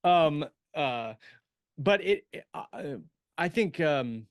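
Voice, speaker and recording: A man co-hosting a writing podcast in casual shop talk, with a halting way of circling back to rephrase. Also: on the high side, animated, no echo, clean studio sound. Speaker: podcast co-host and science fiction writer.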